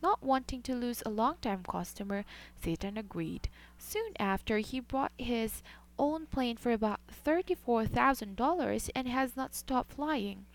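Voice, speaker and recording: Higher-pitched woman reading a news report. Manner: measured, even news delivery. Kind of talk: scripted news narration.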